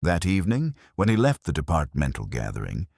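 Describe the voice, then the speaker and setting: A man reading a scripted first-person detective story in a measured, solemn, literary manner. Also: low voice, huge pitch swings, creaky, darkish tone, breathy edge, no echo, clean studio sound. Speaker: narrator.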